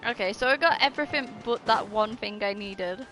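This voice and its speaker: A woman's voice: mid-range voice, fairly quick. A woman streaming a video game, giving casual, reactive commentary and playfully heckling the characters. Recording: game music under the voice. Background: video game music and sound.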